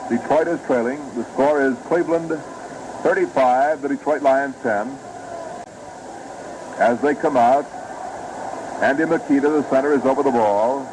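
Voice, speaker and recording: A man's voice: medium pitch, moderate pace, pauses often. An American man calling football play-by-play on 1950s radio in a formal, detailed and dramatic manner, on a noisy vintage broadcast recording. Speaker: sports radio play-by-play announcer.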